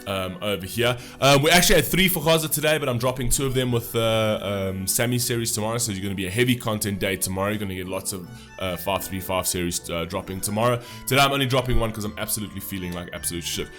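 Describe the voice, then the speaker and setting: A man's voice: fairly low pitch, quick pace, fairly bright tone, faint music behind. A man with a stuffy nose from a cold, talking loosely in a casual reaction commentary with many fillers. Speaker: music reaction host.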